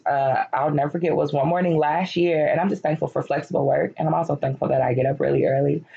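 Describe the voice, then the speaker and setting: A woman in her late thirties hosting a podcast, talking candidly and encouragingly about her life, her voice hoarse and cracking as she loses it. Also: low voice, darkish tone. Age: late 30s. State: losing her voice, slightly cracking. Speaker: podcast host. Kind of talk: personal reflective monologue. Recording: lo-fi, dull recording.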